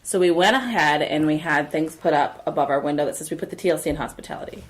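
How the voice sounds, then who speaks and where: A low-pitched woman co-hosts a casual podcast and tells a workplace story at length. Her talk is chatty and easygoing, and she livens up when quoting people. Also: fast, poor audio. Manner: chatty storyteller, animated in quotes. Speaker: podcast co-host.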